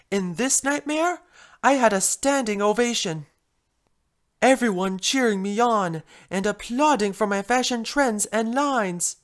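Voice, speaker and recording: A woman voicing a refined, fretful female pony fashion designer with a theatrical, genteel manner in a first-person story. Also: fairly high pitch. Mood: theatrical lament. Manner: theatrical, genteel, uses "darling". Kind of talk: first-person fan story narration in character.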